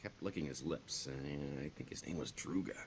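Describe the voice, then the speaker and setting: A man's voice: very deep voice, normal speed, creaky, slight background noise. A man playing a roguish middle-aged career criminal in a video game, wry and boastful, with a wheedling, theatrical sarcasm.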